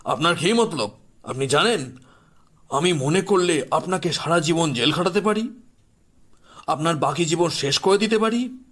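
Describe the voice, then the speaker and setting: A man voices a wealthy, domineering father in an audio horror drama. His voice is high for a man and husky, full of indignation and threat as he demands answers. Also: expressive, fairly bright tone. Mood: furious, threatening. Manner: indignant, demanding, rapid questioning. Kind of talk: audio horror story acting.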